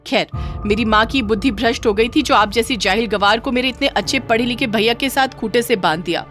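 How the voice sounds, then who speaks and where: A woman voicing a spiteful, haughty young sister-in-law in a moral story, sneering, sharp-tongued and condescending. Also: a little high, brisk, faint music behind, voice pushed up, close to an everyday voice. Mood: scornful, angry. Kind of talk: acted dialogue in a moral story.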